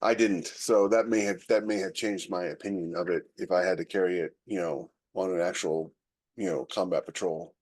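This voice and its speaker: A man's voice: low voice, steady tone, normal speed, darkish tone. A man co-hosting a podcast in a casual, conversational way, freely giving his opinions. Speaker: podcast co-host.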